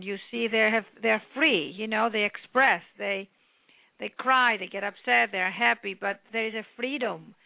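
A woman from Greece with a Greek accent, an author talking earnestly at length in a phone interview, with many pauses. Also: on the high side, gravelly, no room sound. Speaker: author guest. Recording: tinny phone line.

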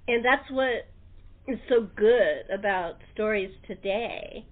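A woman's voice: medium pitch, slow pace. A woman hosting a casual interview chat, speaking in an earnest, emphatic way. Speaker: radio show host. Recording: muffled phone-like line.

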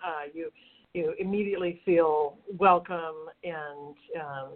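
A woman's voice: low voice, steady tone, a little slow. A woman co-hosting a show, chatting casually with guests and offering praise with frequent fillers. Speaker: show co-host. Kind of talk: casual interview chat. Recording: phone-like narrow band.

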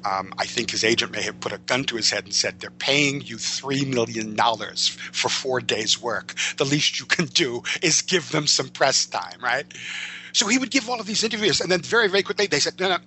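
A man's voice: medium pitch, expressive, moderate pace, sharp tone, slight background noise, poor audio. A man speaking as an interview guest, conversational and wordy, often stammering over repeated words and circling back to rephrase. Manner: articulate, wordy phrasing. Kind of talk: conversational storytelling and opinion about comics and film.

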